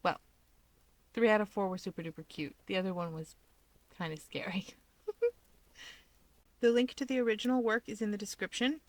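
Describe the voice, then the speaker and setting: A woman's voice: mid-range voice, moderate pace, long pauses, dry recording. A woman narrator chatting casually to listeners in an outro to her fan fiction reading.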